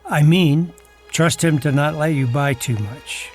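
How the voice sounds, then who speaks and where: A wry, well-off husband in an audio drama, pitched a little high for a man. He teases and uses endearments as he narrates and talks. Soft music plays beneath him. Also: a little slow. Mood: teasing, indulgent. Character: wealthy, wry husband who uses a wheelchair. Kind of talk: audio drama acting, first-person narration and dialogue.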